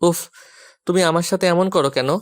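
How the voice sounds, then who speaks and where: A man's voice: high-pitched, normal speed, lightly acted. A man playing a flustered, exasperated young husband who pleads and gives in, in a romantic audio story. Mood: pleading, resigned.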